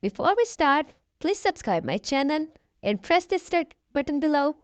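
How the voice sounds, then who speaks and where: A woman narrates a picture book for small children in a high voice. Her delivery is expressive and swings widely in pitch, speaking straight to her young audience. The sound is dry, with very little room around it. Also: moderate pace, no echo. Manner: addresses young children directly, expressive storytelling. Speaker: children's storybook reader, channel host. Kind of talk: read-aloud children's story narration.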